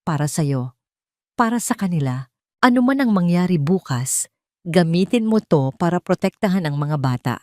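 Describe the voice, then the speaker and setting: A woman's voice: lowish voice, lively intonation, moderate pace, darkish tone, dry recording, clean studio sound, natural voice. A woman with a Filipino accent voicing a serious, remorseful wealthy father in an audio drama. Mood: grave, protective. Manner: serious, earnest. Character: grave, remorseful wealthy man, protective father.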